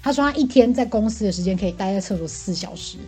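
Young Taiwanese woman, slightly husky, rapid casual podcast gossip, animated storyteller, faint music behind. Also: medium pitch. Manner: animated storytelling with acted-out quotes. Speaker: podcast co-host. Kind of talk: casual comic chat, venting about coworkers. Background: faint background music.

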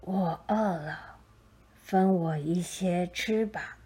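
Woman acting slow, low-voiced kindly old man. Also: husky, exaggerated voice. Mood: kindly, weary. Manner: slow, drawn-out, gentle delivery. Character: kindly, dignified old hermit man, gentle and welcoming.

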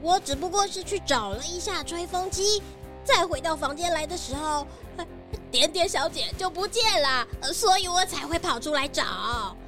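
A woman's voice: very high voice, lively intonation, normal speed. Flustered young nanny, voiced by a woman. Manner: flustered, stammering. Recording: soft music underneath.

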